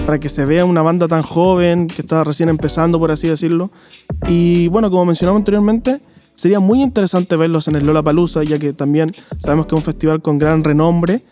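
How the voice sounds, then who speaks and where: Careful, formal game panelist. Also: male voice, on the high side, mellow. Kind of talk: panel commentary.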